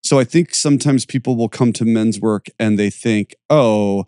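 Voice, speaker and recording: A man with a lowish voice hosts a podcast conversation. He talks in an energetic, performative way, and the sound is close and dry. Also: normal speed, clear, resonant tone, no echo. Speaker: podcast host and fitness teacher.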